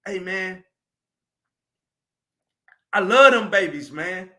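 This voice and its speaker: High bright-voiced preachy man. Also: animated, very slow. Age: 40s. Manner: preachy, emphatic, often raising his voice. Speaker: motivational speaker. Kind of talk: motivational live stream talk.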